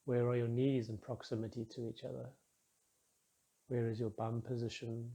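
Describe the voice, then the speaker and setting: Mellow, husky man guiding a meditation. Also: mid-range voice. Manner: calm, soothing, measured instruction with soft phrase endings. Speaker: meditation guide. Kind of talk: guided meditation.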